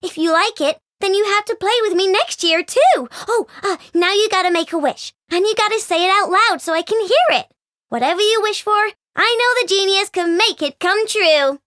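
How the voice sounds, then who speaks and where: A woman's voice: very high-pitched, normal speed, a bit bright, no echo, studio quality. A bubbly sugar-mad girl inventor. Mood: playful. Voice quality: bubbly, childlike and bouncy. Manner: playful, wheedling, with gasps and giggles. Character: cheerful young girl inventor, sugar-loving and playful. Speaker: game character voice. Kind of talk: game voice lines.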